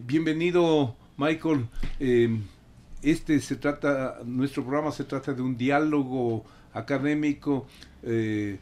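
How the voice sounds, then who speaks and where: A man hosting an academic radio interview in a courteous, halting manner, searching for his words. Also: mid-range voice, a little mellow. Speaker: radio program host and interviewer.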